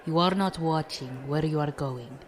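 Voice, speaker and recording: A woman's voice: deep voice, natural voice. An actress playing a stern, dignified female admiral who speaks formally and without contractions, in an audio drama. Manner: formal, measured, avoids contractions.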